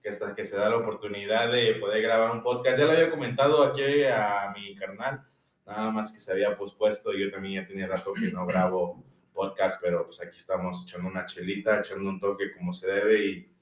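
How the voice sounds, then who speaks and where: A male podcast host with a Mexico City accent, chatting in a relaxed, slangy way. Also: lowish voice, far from the mic. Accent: Mexico City Spanish. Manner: relaxed slangy banter, drawn-out phrases. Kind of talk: casual podcast chat. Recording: echoey room, voice off-mic.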